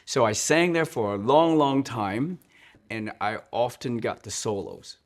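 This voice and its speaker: Earnest man, church music director. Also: mid-range voice. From Hong Kong. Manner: earnest, restarts and repeats words, slows on key points. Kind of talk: interview, personal storytelling.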